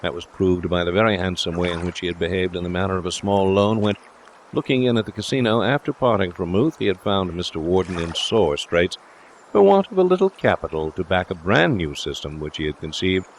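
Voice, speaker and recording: Man, low voice, audiobook narration, literary storytelling, some background noise. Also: animated, a little fast.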